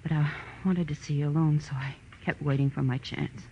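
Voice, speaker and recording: Subdued, even-toned radio heroine. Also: female voice, sits low, a little fast. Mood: subdued, earnest. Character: earnest young woman, rescued and subdued. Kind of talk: 1940s-50s radio drama acting. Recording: dull, noisy vintage radio recording.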